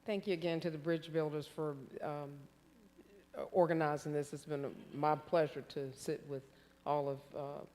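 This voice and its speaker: A man's voice: on the high side, little pitch movement, moderate pace, a little mellow, long reverb tail, a little hiss or hum. A man in his sixties from Virginia, giving an earnest campaign speech at a candidate forum, with some restarts and fillers. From Hampton, Virginia. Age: 60s. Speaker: city council candidate. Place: community forum hall.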